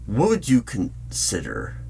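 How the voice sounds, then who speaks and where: A man playing a polite, probing hiring manager in an ASMR interview roleplay, speaking in measured phrases with deliberate pauses. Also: on the low side, huge pitch swings, vocal fry, warm tone, exaggerated voice. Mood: businesslike. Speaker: job interviewer. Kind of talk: ASMR roleplay, spoken aloud. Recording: background noise with keyboard typing. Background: computer keyboard typing.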